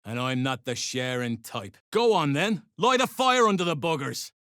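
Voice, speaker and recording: An actor playing a gruff, greedy, foul-mouthed dwarf overseer in a video game, bossy and sarcastic in clipped phrases. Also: male voice, a little high, very animated, moderate pace, a bit bright, no echo, clean studio sound. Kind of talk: video game character acting.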